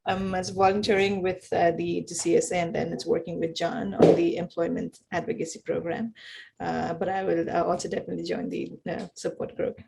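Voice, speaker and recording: A woman, speaking haltingly with many fillers and repeated words on a video call. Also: fairly low pitch, flat delivery, creaky, noisy background. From Nepal. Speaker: workshop participant. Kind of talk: casual remarks in a group call.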